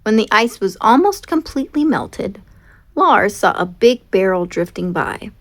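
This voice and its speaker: A woman reading a picture story aloud for children in an expressive narrating style. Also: medium pitch, measured pace. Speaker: storybook reader.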